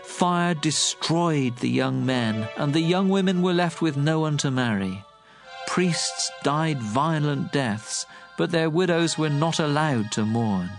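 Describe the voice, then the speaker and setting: A man's voice: fairly high pitch, animated, slow. A man narrating scripture as a dramatic, scripted audio reading. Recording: music bed under the voice. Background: music.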